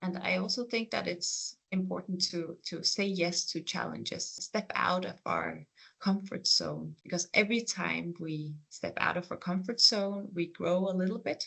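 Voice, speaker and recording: A speaker from the Faroe Islands whose first language is Faroese, with a Faroese accent, answering career interview questions in an earnest, reflective, gently encouraging way. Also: mid-range voice, unhurried, creaky, breathy edge, rough recording. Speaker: programme coordinator and PhD researcher.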